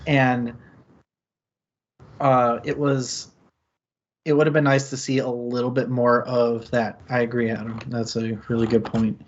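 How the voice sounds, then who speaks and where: A man's voice: mid-range voice, flat delivery, a little slow, long pauses, lo-fi sound, a little hiss or hum. A man hosting a casual book discussion on a stream, thinking aloud in long, rambling sentences and laughing often. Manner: thoughtful, rambling, with frequent laughs. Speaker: book club stream host.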